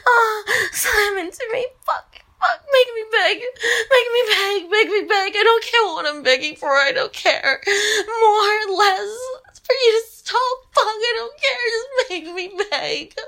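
Very high, slow, breathy young woman. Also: bright, forceful tone.

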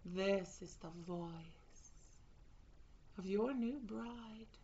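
A woman speaks slowly and deliberately, her tone teasing yet commanding. The audio is slightly dull and muffled. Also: medium pitch, mellow, a little hiss or hum. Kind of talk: scripted audio roleplay.

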